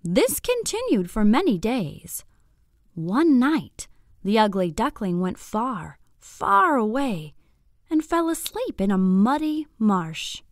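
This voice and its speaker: A woman narrating a scripted bedtime story for young children in an expressive, deliberate, clearly phrased way. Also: fairly high pitch, no room sound, clean studio sound.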